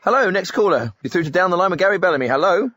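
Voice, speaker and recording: An earnest, slightly clueless radio host. His voice is fairly high, animated and a little husky. He questions callers chattily in a spoof phone-in. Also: rapid-fire, no echo, dull audio. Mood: businesslike.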